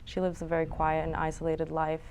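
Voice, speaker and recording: A woman's voice: low voice, fairly quick, low-quality recording. Young woman filmmaker from new york and florence, easygoing chatter, lots of ums, faint hiss behind. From New York City and Florence. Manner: relaxed, chatty, with frequent fillers and small laughs. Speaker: filmmaker guest. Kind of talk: casual interview answers. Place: studio.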